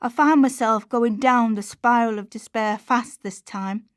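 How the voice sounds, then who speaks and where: Woman acting worried struggling mother, higher-pitched, confiding, colloquial, radio drama monologue, dry sound. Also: fairly even intonation, measured pace, no echo, natural voice. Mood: anxious, despairing. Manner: confiding, plain-spoken, colloquial. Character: Chantelle, anxious struggling working-class mother on benefits, confiding. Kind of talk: confessional radio play monologue.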